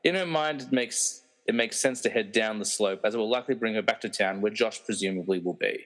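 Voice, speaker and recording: A man's voice: lowish voice, a little fast, fairly bright tone, rough recording. A man narrating a radio play, reading out scripted scene directions.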